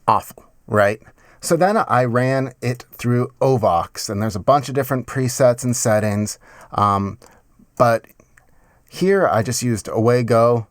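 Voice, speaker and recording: A man's voice: mid-range voice, measured pace, no room sound, clean studio sound. Enthusiastic casual man presenting a tutorial. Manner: informal, enthusiastic, slangy. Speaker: host of a music production tutorial. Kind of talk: casual instructional video presenting. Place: small home studio room. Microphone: close studio microphone with pop filter.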